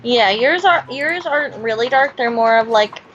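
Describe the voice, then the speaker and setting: Woman, higher voice, casual co-host chat, slightly muffled lo-fi recording, faint music. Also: animated, normal speed, fairly bright tone. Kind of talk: casual chat and reading quiz questions aloud.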